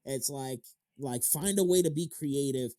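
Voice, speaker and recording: A man's voice: mid-range voice, a little slow, warm tone, dry recording, studio quality. A thirty-year-old man hosting an interview as a casual chat, informal and slangy with some casual swearing. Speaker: interview show host. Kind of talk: casual chat about games and films.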